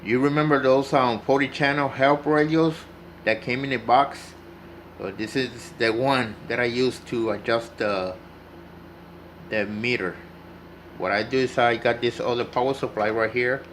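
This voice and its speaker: A man's voice: mid-range voice, fairly even intonation, measured pace, a bit bright, a little hiss or hum. A man giving a casual, unscripted hands-on tutorial, explaining step by step and repeating himself as he goes. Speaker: hobbyist demonstrating CB radio repair.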